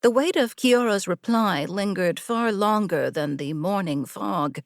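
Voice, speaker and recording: A woman narrating scripted fiction with a measured, deliberate storytelling delivery. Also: medium pitch, dry recording, clean studio sound. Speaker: audiobook narrator.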